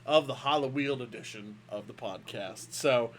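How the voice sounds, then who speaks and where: A man chatting as co-host of a casual gaming podcast, recorded over a remote call. His voice sits at a middle pitch, and he rambles, circling back and restarting sentences as he thinks aloud. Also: normal speed, a bit bright. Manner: rambling, restarts often, playful grandiose greeting. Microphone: microphone over a remote call.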